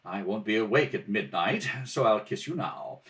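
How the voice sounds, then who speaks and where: A suave, easygoing retired sleuth from an old radio play, read by a man. He is dry and teasing with lively intonation, heard slightly away from the mic. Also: on the low side, normal speed. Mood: wry, teasing. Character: suave, wry, easygoing retired male detective husband. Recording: home kitchen, distant mic.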